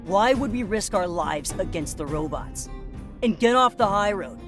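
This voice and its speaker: A man's voice: sits high, lively intonation, moderate pace. A man voicing a menacing, callous, hungry thug in a video game, with a mocking, threatening drawl. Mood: aggrieved, sneering. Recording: game music behind the voice. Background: background music.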